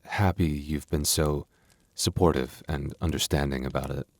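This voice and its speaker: A man playing a gentle, sheepish young werewolf boyfriend in an intimate ASMR roleplay, speaking softly and hesitantly. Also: rumbling low, fairly even intonation, normal speed, no room sound. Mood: sheepish, reassuring. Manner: soft, hesitant, stammering.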